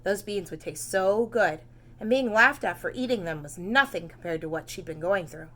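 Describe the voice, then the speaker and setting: A woman teacher reading a picture book aloud to young children, with expressive, animated emphasis. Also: mid-range voice, normal speed. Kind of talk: expressive children's storybook narration.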